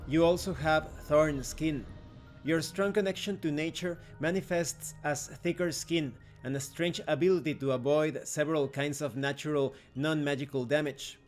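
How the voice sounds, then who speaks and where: A man presenting an explanatory game review as host, in a measured, orderly, instructional way. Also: fairly high pitch. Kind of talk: explanatory review narration, reading rules. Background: background music.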